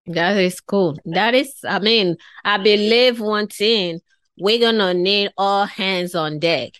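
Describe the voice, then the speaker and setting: A woman's voice: mid-range voice, takes it slowly, a bit bright, clear, resonant tone, no room sound. A woman interviewer from West Africa asking long, enthusiastic questions. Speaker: podcast host.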